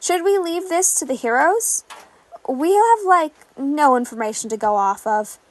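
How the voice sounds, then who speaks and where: Audio story role: sincere, hesitant young lad female reader, high lively voice. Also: measured pace, poor audio. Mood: worried, hesitant. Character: earnest, cautious, worried teenage boy hero trainee. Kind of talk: podfic character dialogue.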